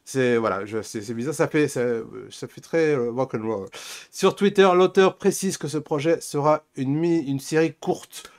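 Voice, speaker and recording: Slightly high-voiced man hosting casually. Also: normal speed. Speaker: host of an anime news show. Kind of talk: casual chat.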